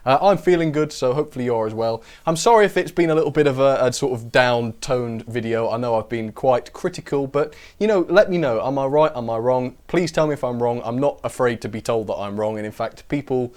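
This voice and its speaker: A man hosting a casual live stream, chatty and self-deprecating, his voice a little rough from a cold. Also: mid-range voice, brisk, hardly any pauses, a little mellow. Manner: chatty, self-deprecating, invites viewers to correct him. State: a bit of a cold, voice recovering.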